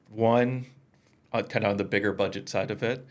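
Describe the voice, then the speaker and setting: A man's voice: fairly low pitch, fairly even intonation, measured pace. A man hosting a casual interview, chatting in an informal, enthusiastic way. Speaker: podcast host.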